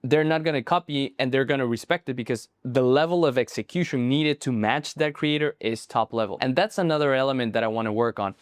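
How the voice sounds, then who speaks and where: A young man speaks English with a Spanish accent, since Spanish is his first language. He explains his ideas earnestly, restarting phrases now and then and checking in with asides. His voice sits at an ordinary middle pitch and sounds close and dry, with no room around it. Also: a little fast, hardly any pauses, no room sound. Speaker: marketing coach. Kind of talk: casual talk to camera.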